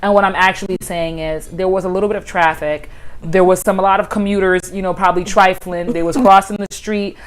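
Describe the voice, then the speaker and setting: A woman in her twenties hosting a casual podcast chat, an animated storyteller who exaggerates and laughs often. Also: mid-range voice, fairly quick. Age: 20s. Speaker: podcast host.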